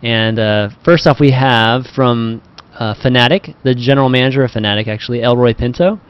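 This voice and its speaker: A man's voice: mid-range voice, normal speed, smooth. A man hosting a casual panel talk over a video call, rambling and hedging as he goes. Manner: rambling, hedging with "you know". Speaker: talk show host. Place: indoor room on a video call. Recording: dull, muffled online call audio.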